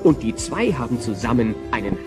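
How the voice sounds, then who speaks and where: A man's voice: mid-range voice, sing-song, a little fast. A man narrating a children's audio drama in a scripted storytelling style. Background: music.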